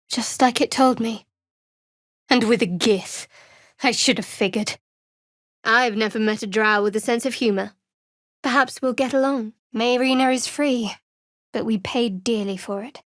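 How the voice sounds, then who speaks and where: An actress playing a guarded, sardonic young female cleric of a dark goddess in a video game, with dry, measured delivery. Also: on the high side, dry recording, natural voice. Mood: guarded, intent.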